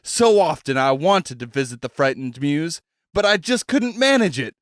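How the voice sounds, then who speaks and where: A man's voice: a little high, big pitch swings, normal speed, dry recording, clean studio sound. A man voicing a mild, hesitant middle-aged man who is a talking severed head. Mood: earnest.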